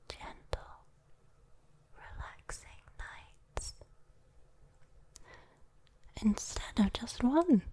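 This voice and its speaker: Casting: sweet, teasing demon girl for ASMR roleplay, acted by a woman, British accent, breathy whisper, unhurried, coaxing and soothing. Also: a little high, darkish tone, heavily acted. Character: sweet, teasing female demon coaxing a sleeper for their soul.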